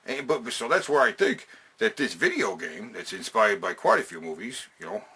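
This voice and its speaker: A man's voice: medium pitch, huge pitch swings, moderate pace, gravelly, pushed and bright, husky. A man hosting a casual, opinionated countdown, talking in emphatic, sweary slang and repeating phrases to drive them home. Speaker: host of a video game and movie countdown.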